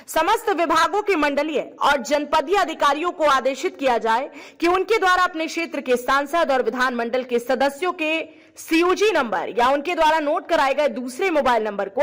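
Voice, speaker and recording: Female news presenter, notably high voice, formal scripted delivery. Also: a bit bright.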